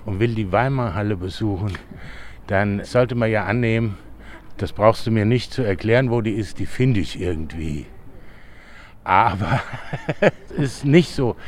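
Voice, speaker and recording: A man with a lowish voice explains things at a very slow, easygoing pace. He is the interviewer and is recorded outdoors in a park. A faint hiss sits under the recording. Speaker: interviewer, local guide. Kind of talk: casual outdoor conversation.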